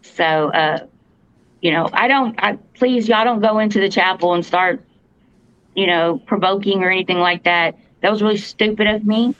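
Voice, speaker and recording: A woman with a fairly deep voice, earnestly telling a story as a podcast co-host, halting with fillers and restarts. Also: a bit bright, slight background noise. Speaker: podcast co-host, paranormal investigator. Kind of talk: casual storytelling. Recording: dull lo-fi podcast audio, dry.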